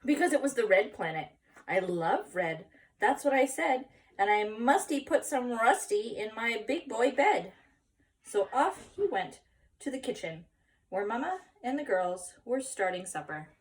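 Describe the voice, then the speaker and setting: A woman reading a children's story aloud expressively, pausing for explanatory asides and questions to young listeners. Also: on the high side, big pitch swings, recorded from a distance, low-quality recording. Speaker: story reader.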